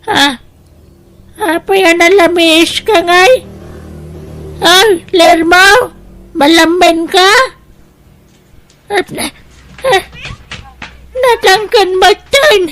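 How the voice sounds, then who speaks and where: A woman with an Ilocano accent playing a sorrowful, pleading village woman in an audio drama, speaking in a drawn-out, plaintive way. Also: very high-pitched, fairly bright tone, a little hiss or hum, full character voice. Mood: tender, grieving.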